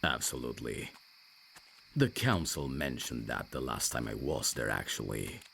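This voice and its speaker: Reserved male vampire voiced by a man, bass and gravelly, rational and guarded, roleplay audio with some noise behind. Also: expressive, a little slow, a bit bright, heavily acted. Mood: reserved, matter-of-fact. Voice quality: very deep, gravelly. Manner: dry, guarded. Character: reserved, rational male vampire messenger, over fifty years undead.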